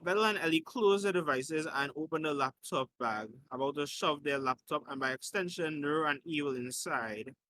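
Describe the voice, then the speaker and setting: A man's voice: a little high, normal speed, hardly any pauses, bright, forceful tone, a little hiss or hum, low-quality recording. A man reading a story aloud in an informal way, as a host who slips in his own asides and corrections.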